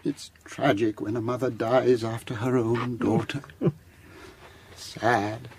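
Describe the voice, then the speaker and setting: An actor playing an obsequious, morbidly chatty undertaker in an audio drama, fawning and halting as he talks. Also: medium pitch, little pitch movement, darkish tone, slight background noise, close to an everyday voice. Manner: fawning, halting, oddly relishing.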